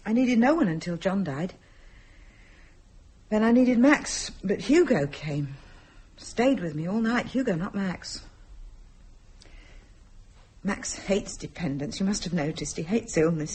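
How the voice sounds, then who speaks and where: An actress playing a proud, troubled woman in a radio drama, with a husky, breathy voice and a pointed, intense manner. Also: medium pitch, darkish tone. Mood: intense, confessional. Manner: intense, pointed, repeats phrases for emphasis. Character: troubled, proud woman, smothered by protective man. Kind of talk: naturalistic radio drama acting.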